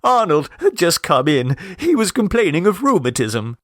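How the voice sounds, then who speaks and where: A man's voice: on the high side, big pitch swings, moderate pace, a bit bright, no echo, studio quality, voice pushed up. A man voicing a distraught, tearful young mother in a storybook reading, wailing and sobbing. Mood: aggrieved. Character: distraught, shrill, tearful young mother, upper-middle-class Edwardian.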